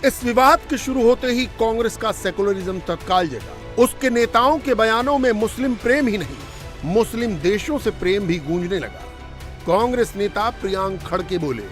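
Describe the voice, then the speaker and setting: A man reading a scripted television news report as its narrator. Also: high voice.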